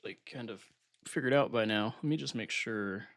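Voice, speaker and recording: A man's voice: medium pitch, moderate pace. A man hosting a casual live stream, a bit nasal as he recovers from being sick, talking himself through setup at his computer. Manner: relaxed, thinking aloud while setting up software. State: recovering from illness, slightly congested.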